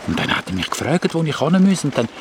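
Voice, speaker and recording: A man's voice: fairly high pitch, sing-song, fast, background noise. A man with a Swiss German accent voicing a young adventurer who rambles and repeats words as he explains things. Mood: explaining. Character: Sämi, a curious young cart-riding adventurer.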